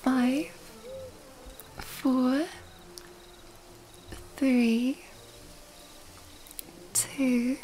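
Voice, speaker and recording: A woman acting as an elegant, predatory vampire lady in an ASMR roleplay. Her breathy, whispered voice sits high but stays soft and dark, unfolding very slowly with teasing, mysterious formality. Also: level tone, slight background noise, low-quality recording. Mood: predatory, coaxing. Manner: slow, teasing, formal old-fashioned wording.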